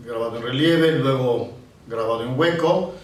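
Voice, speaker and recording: A man in his sixties, a painter born in Italy, recalling his past in an interview and often pausing to find his words. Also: medium pitch, a little hiss or hum. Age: 60s. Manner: reflective, pausing to search for words. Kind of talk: reminiscing in an interview. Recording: roomy, distant mic with faint ambience.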